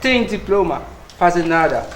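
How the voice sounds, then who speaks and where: A Haitian man with a Haitian Creole accent answering emphatically, growing louder when he stresses a point. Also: high voice, animated, a little slow, reverberant, a crowd in the background. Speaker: interviewee. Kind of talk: interview answers.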